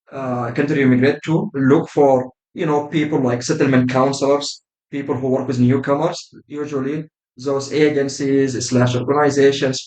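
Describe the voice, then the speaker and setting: Man from Sudan talking in an echoey room. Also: mid-range voice. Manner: measured, with frequent "uh" and "right?" tags. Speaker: podcast guest. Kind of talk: casual podcast conversation.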